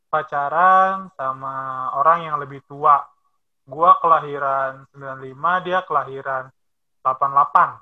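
Man, twenties, casual chat, animated storytelling, somewhat high voice, lo-fi sound. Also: measured pace. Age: 20s. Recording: dull lo-fi sound.

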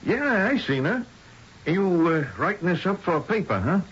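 A man's voice: sits high, very animated, moderate pace. An actor playing a shifty, nervous man under questioning in a 1970s radio drama, hesitant, slangy and repeating himself. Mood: wary. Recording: dull, noisy old radio broadcast recording.

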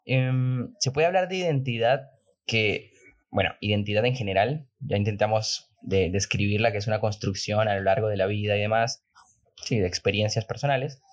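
Low-voiced man hosting a casual podcast. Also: normal speed. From outside Argentina, moved there. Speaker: podcast host. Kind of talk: casual conversational interview.

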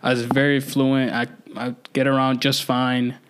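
A man's voice: medium pitch, monotone, normal speed, noisy background. Easygoing Somali-born man telling stories. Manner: easygoing storytelling, frequent fillers. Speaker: guest. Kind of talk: casual podcast conversation.

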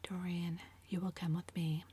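A woman whispering as a languid witty gentleman. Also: fairly low pitch, level tone, moderate pace, slight background noise, close to an everyday voice. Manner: whispered, epigrammatic, drawling. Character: languid witty aristocratic older English gentleman.

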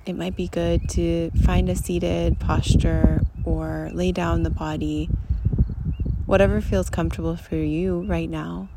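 A person leading a guided meditation in a gentle, soothing, unhurried manner, recorded live outdoors. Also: medium pitch, little pitch movement, vocal fry. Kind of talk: slow guided meditation and poem reading. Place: outdoors among hills and wildflowers. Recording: outdoor live recording, loud wind and nature noise. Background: wind, birds, nature sounds.

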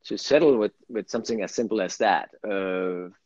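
Danish guy with a lowish voice chatting about spiritual stuff, kinda rambly, dry slightly dull audio. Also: moderate pace, darkish tone, no room sound. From Denmark. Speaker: guest, spiritual channel. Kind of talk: casual spiritual conversation.

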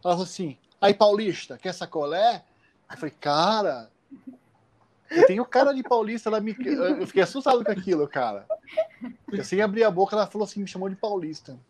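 A man from the Campinas area of Brazil, telling childhood stories in a casual, animated way on a live call, laughing often. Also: sits high, brisk. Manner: animated, laughing, many restarts. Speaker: guest. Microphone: phone on a live stream.

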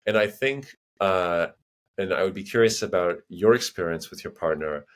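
A man with an American accent talks in a fairly low voice as a podcast guest. His speech is loose and meandering, full of restarts and filler phrases. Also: normal speed. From United States. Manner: rambling, full of "you know" and restarts. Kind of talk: casual conversation.

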